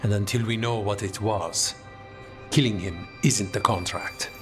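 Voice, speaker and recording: A man voicing a composed, calculating assassin leader in a video game, with a measured, formal delivery. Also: on the low side, fairly even intonation, over music, natural voice. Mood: measured, resolute.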